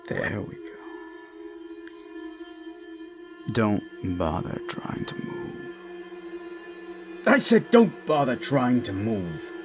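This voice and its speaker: A deep, menacing slasher killer acted out by a man taunts his captive in a horror roleplay. He speaks slowly with a gravelly rasp, savoring his words and often sinking toward a whisper, over a clear music bed. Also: heavily acted. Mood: mock soothing, then angry, contemptuous. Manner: slow, taunting, drawn-out, often dropping to whispers. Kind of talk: horror audio roleplay addressed to the listener.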